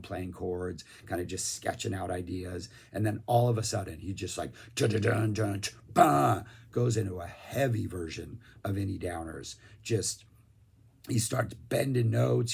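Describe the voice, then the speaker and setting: A man's voice: fairly low pitch, a little mellow. A man losing his voice, chatting casually and enthusiastically as he reviews concert recordings, rambling with lots of fillers. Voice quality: gravelly, raspy. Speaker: music reviewer.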